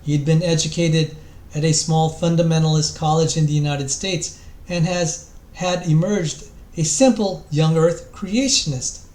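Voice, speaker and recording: High-pitched man reading dramatically, echoey room. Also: steady tone, darkish tone. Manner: theatrical, emphatic, with stressed and drawn-out phrases. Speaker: reader of a book introduction. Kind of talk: dramatic reading aloud from a book. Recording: echoey, roomy sound.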